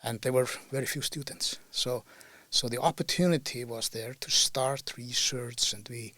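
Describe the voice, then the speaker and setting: A man's voice: mid-range voice, normal speed, pushed and bright, no echo. An older man with an Icelandic accent, a guest in a podcast interview, talking about his career thoughtfully with frequent fillers and restarts. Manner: thoughtful, hesitant with many fillers. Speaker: guest ecologist. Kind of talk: relaxed interview talk.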